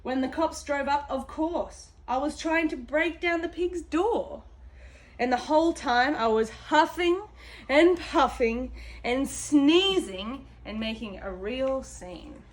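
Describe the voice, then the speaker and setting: A woman's voice: sits high, expressive, takes it slowly. A woman voicing a chatty male wolf who protests his innocence, reading a children's storybook in a folksy, exaggerated, confiding way. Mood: indignant, self-justifying. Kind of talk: children's storybook read-aloud.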